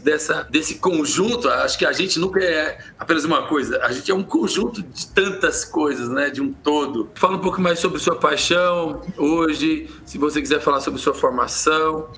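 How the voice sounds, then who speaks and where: Male podcast host, friendly interviewer, slightly high and bright, faint noise behind. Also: creaky. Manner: friendly, summarizing the guest's answers. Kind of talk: interview questioning.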